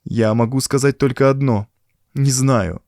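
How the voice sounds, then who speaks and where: A man voicing a frightened, bitter young woman in an audiobook, speaking in a plaintive, soft, emotional way. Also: mid-range voice, fairly even intonation, unhurried, no room sound, clean studio sound, pitched above the performer's own voice, natural voice. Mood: anxious, confiding. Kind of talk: audiobook character dialogue.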